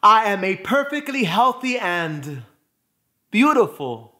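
A man performing a dramatic poetic monologue, phrasing deliberately and emphatically with pauses for effect. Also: high-pitched, big pitch swings, pushed and bright. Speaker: spoken word performer.

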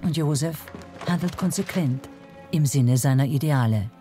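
A woman reading scripted documentary narration in a measured manner with dramatic pauses. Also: low voice. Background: faint music.

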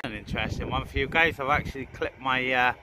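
A man's voice: medium pitch, quick pace. A man chatting informally to viewers as he narrates a hobby radio vlog from a garden, sometimes making radio calls. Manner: informal, addresses viewers as guys. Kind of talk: casual outdoor vlog chat with radio calls. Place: outdoors in a garden. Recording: loud outdoor noise, radio static. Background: outdoor ambience and CB radio noise.

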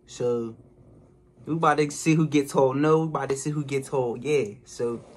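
A man's voice: medium pitch, steady tone, normal speed, slightly rough. A man hosting casual public interviews, teasing and egging people on in slangy talk. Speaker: street interview host. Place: outdoors in a busy public place. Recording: busy outdoor crowd noise.

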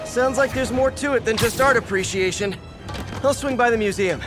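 An actor playing a young wisecracking superhero in a video game, earnest and curious, with a quippy, conversational delivery. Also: male voice, extremely high pitch, normal speed, over music. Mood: alert, curious. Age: young adult. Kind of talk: naturalistic video game acting.

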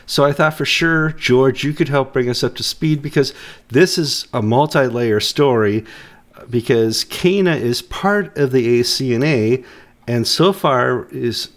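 A middle-aged man hosting a casual news chat over a video call, joking and quick with asides, his voice deep from a cold he is getting over. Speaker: host. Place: home room, video call.

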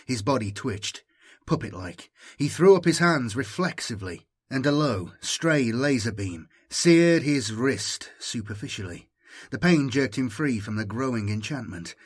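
Husky-voiced man narrating a story. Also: mid-range voice, expressive, measured pace. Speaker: audiobook narrator. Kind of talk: dramatic scripted story narration.

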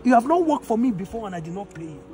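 A man's voice: high-pitched, sing-song, very fast, dark tone. A man with a Nigerian accent playing a generous, wronged customer, speaking emphatically and repeating himself in a skit. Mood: hurt, reproachful. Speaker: actor in an online skit. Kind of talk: improvised moral skit acting. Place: outdoors in an open car yard. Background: music, vehicles.

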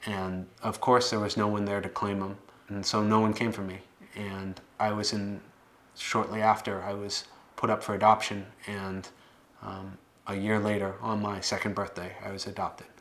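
An American man in his late twenties giving personal testimony to camera in a plain-spoken, measured way, with some hesitant phrasing. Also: on the low side, level tone, fairly bright tone. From Boston area, United States. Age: late 20s. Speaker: documentary subject telling his life story. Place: living room, indoors.

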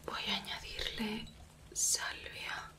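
A young woman from Spain with a Spanish accent, voicing a gentle, protective werewolf woman in an ASMR roleplay, whispering softly and coaxingly into a close mic. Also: lightly acted. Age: young adult. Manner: soft reassuring whisper, slow and coaxing. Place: small indoor room dressed as a cabin.

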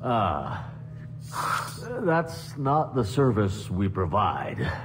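An actor playing a shifty, nervous little alien fixer in a video game, halting and evasive. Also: male voice, on the low side, big pitch swings, full character voice. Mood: smug. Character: nervous, shifty small alien underworld fixer in breathing suit. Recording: noisy ambience behind.

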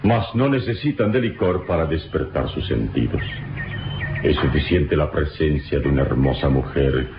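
Suave radio-serial hero, very deep swooping voice, measured grandiloquent speech. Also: male voice, expressive. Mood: gallant, philosophical. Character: noble, worldly male adventurer hero, calm and gallant. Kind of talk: old radio serial acting. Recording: muffled old radio recording with music.

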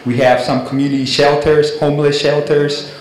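A man in his thirties from Ghana, a city community liaison, speaking steadily into a handheld mic as he lists resources. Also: fairly high pitch. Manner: steady, measured, listing resources. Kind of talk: public address at a community meeting. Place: large indoor event hall. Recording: very echoey hall.

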